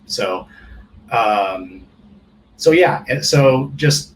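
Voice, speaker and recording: A man in his forties who grew up speaking Japanese, telling stories of his past in a relaxed, rambling way as a guest on an interview show. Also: mid-range voice, very slow, slight background noise, poor audio. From California, United States. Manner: relaxed, rambling storyteller with many restarts. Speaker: guest percussionist. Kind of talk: casual interview chat.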